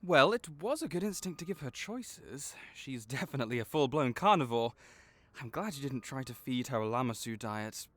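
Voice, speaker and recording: A man playing a gentle, caring vet for magical creatures in an audio roleplay, speaking in a measured, reassuring, explanatory way. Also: medium pitch, lively intonation, no room sound, natural voice. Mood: concerned, explaining. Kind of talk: soft-spoken one-sided comfort roleplay.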